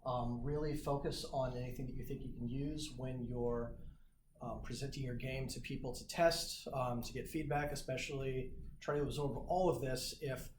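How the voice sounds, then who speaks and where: A man's voice: mid-range voice, fairly even intonation, moderate pace. A young man giving a casual introduction as host of a meetup. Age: young adult. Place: small indoor meeting room. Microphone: camera microphone in the room. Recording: echoey, noisy room recording.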